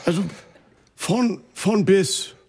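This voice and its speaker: A man playing a halting ex-footballer in a comedy mock-documentary, repeating himself and leaning on stock phrases. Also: high voice, a little mellow, slight background noise. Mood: awkward, halting. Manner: halting, repetitive, cliché-laden. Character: tongue-tied ex-footballer man spouting football clichés.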